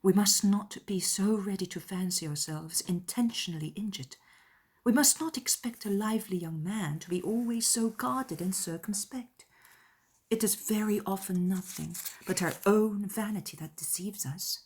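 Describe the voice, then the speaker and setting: A hushed, breathy young gentlewoman, acted by a woman from a period audiobook drama. She is tender and entreating, with a husky softness that drops close to a whisper as she earnestly asks others to think kindly. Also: medium pitch, normal speed. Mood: soft entreating. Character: gentle, soft-spoken young woman pleading for charity toward others. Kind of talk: dramatized period novel dialogue.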